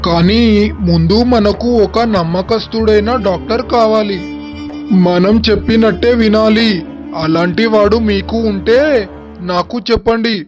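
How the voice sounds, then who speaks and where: A man playing eager, greedy schemers in the dialogue of an animated moral story. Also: high-pitched, unhurried, music in the background. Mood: plotting. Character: eager, greedy men plotting shady business in a village tale.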